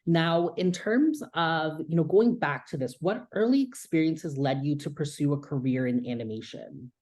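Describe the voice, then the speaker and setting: A woman's voice: deep voice, moderate pace, darkish tone. A woman hosting an interview, introducing her guest and asking friendly, polished questions. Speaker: host of the program.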